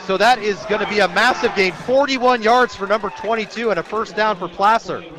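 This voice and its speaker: A man's voice: sits high, fairly quick, a crowd in the background, poor audio. An American man doing live radio play-by-play of a football game outdoors, describing the action as it unfolds. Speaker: play-by-play sports announcer.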